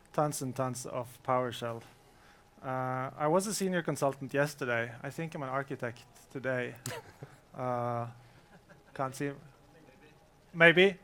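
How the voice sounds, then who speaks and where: Smooth-voiced man giving a casual tech talk. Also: medium pitch. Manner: relaxed, joking, many fillers. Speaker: conference presenter. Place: conference room.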